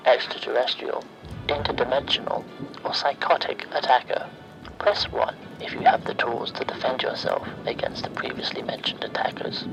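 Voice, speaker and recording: Man voicing sinister automated survey system, male, creaky, hushed and breathy, formulaic, coolly polite, horror ASMR roleplay, music behind. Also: medium pitch, close to an everyday voice, low-quality recording. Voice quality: breathy, often near-whispered, with creaky edge. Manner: measured, formulaic, deliberate announcements. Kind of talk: horror ASMR roleplay, scripted phone menu.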